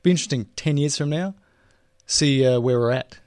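A man's voice: mid-range voice, brisk, a little mellow, no echo, studio quality. A man co-hosting a podcast in casual chat, reading listener mail aloud and laughing often. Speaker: podcast co-host.

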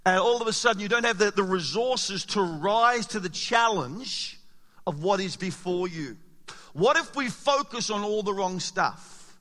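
Guy preaching to his church, high bright voice, bit raspy, lots of echo from the hall. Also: a little slow. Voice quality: slightly raspy. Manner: animated, emphatic preaching with rhetorical questions. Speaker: pastor. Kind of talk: sermon. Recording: long hall reverb.

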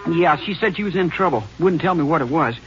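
A man's voice: fairly high pitch, expressive, fast, a bit bright, faint music behind. An actor playing a nervous young man who hesitates and stammers, in a 1950s radio drama. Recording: dull lo-fi old radio broadcast with faint hiss.

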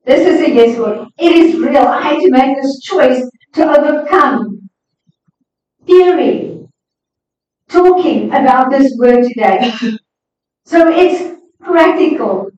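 Woman preacher, high voice, unhurried and emphatic sermon delivery, heard at a distance in a very echoey space. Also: lively intonation, darkish tone, slight background noise. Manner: emphatic, exhorting. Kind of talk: sermon to a congregation. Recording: echoey hall, distant, slightly dull sound.

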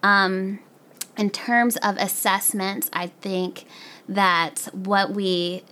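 A woman's voice: mid-range voice, little pitch movement, drawn-out words, pushed and bright, slight background noise. A woman from the American South giving earnest, enthusiastic, conversational interview answers as an education specialist. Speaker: professional learning specialist, podcast guest.

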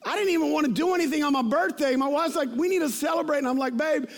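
A man's voice: very high-pitched, quick pace. A man in his fifties preaching a conversational, anecdotal sermon, speaking informally and repeating phrases for emphasis. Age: 50s.

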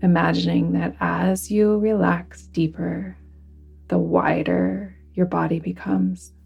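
Lady doing a guided relaxation thing, lowish gravelly voice, soothing n deliberate, kinda hushed at the ends. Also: flat delivery, dull audio, faint music behind. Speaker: yoga nidra guide. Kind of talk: guided relaxation meditation narration.

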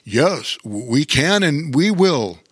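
Guy doing a hearty storybook bear, slow and lively. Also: fairly high pitch, husky, dry recording, studio quality, voice pushed up, heavily acted. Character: big strong bear king, good-natured.

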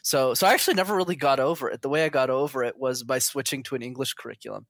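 A man's voice: mid-range voice, very fast, a bit bright, dry recording, studio quality. A man telling his story as an interview guest in a candid, chatty, casual way. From Montreal, Canada.

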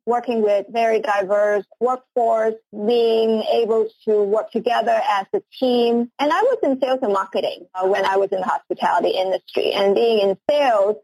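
A woman's voice: fairly high pitch, fairly even intonation, moderate pace, few pauses, a little mellow, husky, dry recording, rough recording. A woman in her forties from Hong Kong, a company CEO answering interview questions earnestly, often repeating her first words. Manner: earnest, eager, repeats words when starting sentences.

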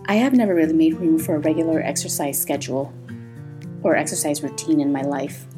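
A woman's voice: sits low, a little fast, over music. A woman in her thirties, born in Thailand, talking candidly as a podcast host and laughing often. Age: 30s. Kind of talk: personal podcast monologue.

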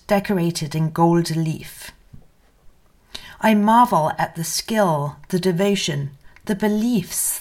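A woman's voice: medium pitch, a little mellow. A woman narrating a first-person memoir as a scripted literary reading. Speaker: reader of memoir excerpts.

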